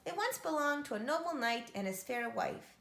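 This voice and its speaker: A woman voicing a kindly, sorrowful old woman telling her tale gravely in a storybook. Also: sits high, lively intonation, normal speed, fairly bright tone, exaggerated voice. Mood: wistful. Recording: echoey room.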